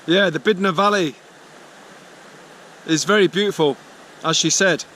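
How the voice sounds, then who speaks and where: A man vlogging on a hiking trip, thinking aloud in a relaxed way with fillers and repeats, recorded on an action camera. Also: sits high, a little slow, a little hiss or hum. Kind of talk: vlog narration to camera. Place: outdoors on a walk, then sheltering in a barn.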